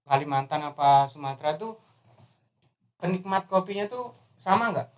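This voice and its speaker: A man's voice: sits high, animated, normal speed. A young man from Indonesia hosting a casual podcast interview, asking friendly questions with frequent backchannels. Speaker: podcast host.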